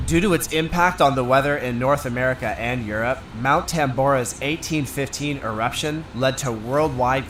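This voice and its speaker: A man reading scripted documentary narration in a steady, informational way. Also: medium pitch.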